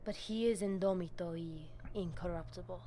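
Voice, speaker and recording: An actress voicing a zealous vampire priestess in a video game, with a Spanish accent and a solemn, commanding manner that mixes in Spanish. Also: female voice, lowish voice, moderate pace, dark tone, natural voice. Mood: grave, mystical. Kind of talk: video game dramatic dialogue.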